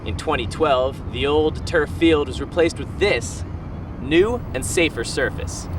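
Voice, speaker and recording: A man's voice: on the high side, unhurried, noisy background. A man with an American accent reading a scripted news report as a reporter and anchor.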